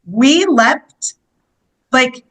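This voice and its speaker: A woman in her fifties from the United States, a guest telling stories in a casual interview, animated and laughing often. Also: high-pitched, drawn-out words. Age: 50s. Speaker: guest, solo travel coach.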